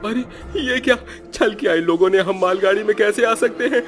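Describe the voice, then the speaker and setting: A man's voice: high voice, a little fast, fairly bright tone, faint music behind, pitched above the performer's own voice. A man voicing a panicked train passenger in a cartoon story, alarmed and pleading. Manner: wailing, alarmed. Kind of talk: animated horror story acting.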